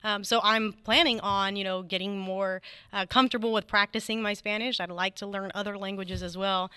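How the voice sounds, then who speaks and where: A woman's voice: medium pitch, steady tone, fairly quick, pushed and bright, smooth, no room sound. A woman as an interview guest, speaking articulately with frequent "um"s. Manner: articulate, with frequent "um" and "mm-hmm" backchannels. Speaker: guest, engineering society leader. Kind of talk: casual interview answers.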